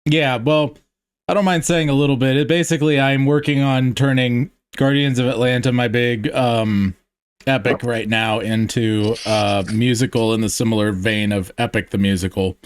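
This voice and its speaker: A man's voice: medium pitch, fairly even intonation, moderate pace, no echo. A man hosting a podcast in casual chat, rambling and hesitant, with lots of fillers. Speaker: podcast host.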